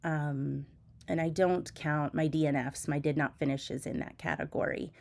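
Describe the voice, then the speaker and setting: Woman vlogger, stuffy from a cold, low-ish voice, relaxed chatty delivery with restarts. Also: moderate pace, creaky. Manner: chatty, with frequent ums and restarts. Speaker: reading journal vlogger. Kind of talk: casual chat and voiceover. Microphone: voiceover mic.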